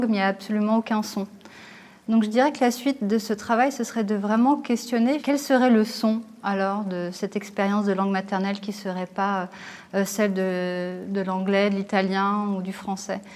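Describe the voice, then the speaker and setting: A woman whose first language is Italian, an artist thoughtfully explaining her exhibition and pausing often to find her words. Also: a little high, darkish tone. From Italy. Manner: thoughtful, searching for words with hesitations. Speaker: visual artist.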